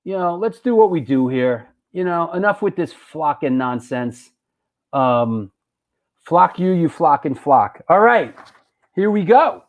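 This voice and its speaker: A high-pitched man hosts a live online show. He talks loosely and jokes around, stumbling over and repeating his words. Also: animated, measured pace. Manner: loose, joking, repeats himself. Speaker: host.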